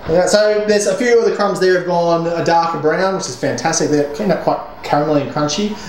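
A young man co-hosting a home cooking video, joking around, swearing freely and talking with lively energy. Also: on the high side, brisk. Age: young adult. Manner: jokey, animated, swears freely. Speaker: cooking show co-host. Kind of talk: casual cooking demo banter. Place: home kitchen, indoors. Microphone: camera mic at mid distance. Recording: echoey kitchen with background noise.

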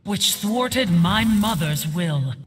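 A woman voicing a haughty, regal nature goddess in a video game, formal and measured. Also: mid-range voice, lively intonation. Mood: imperious. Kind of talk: video game fight banter. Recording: hall-like reverb, game ambience noise.

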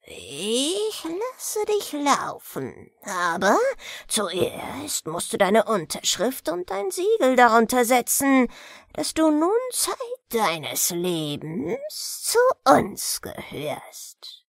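A woman voicing a menacing, commanding chief devil in a fairy-tale audiobook, speaking in a slow, drawn-out way. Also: on the high side, huge pitch swings, vocal fry, no room sound, studio quality, full character voice.

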